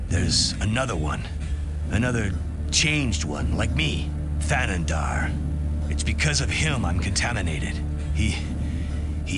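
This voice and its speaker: A man playing an earnest, grim guardian of fire in a fantasy game, speaking solemnly and emphatically. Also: on the low side. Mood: grave warning. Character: infected heroic young male guardian of fire, earnest, grim. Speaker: lead character of a fantasy game. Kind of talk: dramatic fantasy game acting. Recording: reverberant hall, loud music behind.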